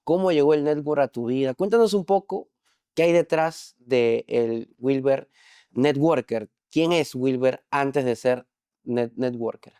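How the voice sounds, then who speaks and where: A man's voice: medium pitch, measured pace, dark tone, clear, resonant tone, dry recording, clean studio sound. A man hosting a podcast, asking questions in an upbeat, encouraging way. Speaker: podcast host. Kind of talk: interview hosting.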